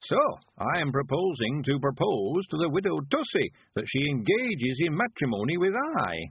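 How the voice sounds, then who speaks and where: A high, husky old countryman with a broad West Country accent. He is sprightly and cheerful in his nineties, drawing out folksy dialect with great emphasis. It is an audiobook character heard through a narrow, radio-like band. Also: sing-song, normal speed, no room sound, full character voice. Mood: crafty, proud. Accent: rural English West Country. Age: 90s. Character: sprightly, cheerful 91-year-old rustic man.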